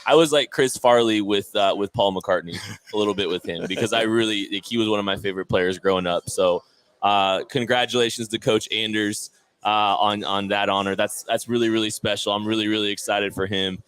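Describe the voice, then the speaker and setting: A man's voice: on the low side, fairly even intonation, fairly quick, fairly bright tone, clean tone. A man hosting a live draft stream from a sports bar, chatting casually, laughing often and announcing picks.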